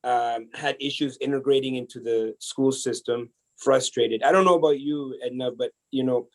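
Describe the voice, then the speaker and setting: A man from El Salvador, a podcast guest telling his life story in a casual, energetic way, often restarting his sentences. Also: mid-range voice, moderate pace, rough recording. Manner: energetic, chatty, many restarts.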